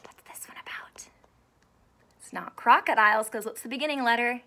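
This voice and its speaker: A woman in her thirties reading a children's book aloud in an expressive, teacherly way, asking questions and drawing out key words. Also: on the high side, moderate pace, a little hiss or hum. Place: small indoor room with couch and stuffed toys.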